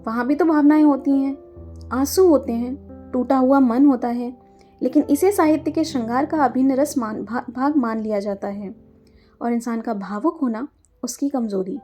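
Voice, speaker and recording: A woman's voice: sits high, normal speed, mellow, faint music behind, lightly acted. A woman voicing a sensitive, introverted young girl in an audio story, with an earnest, emotional way of talking. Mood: hurt, defensive. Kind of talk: acted dialogue in audio storytelling.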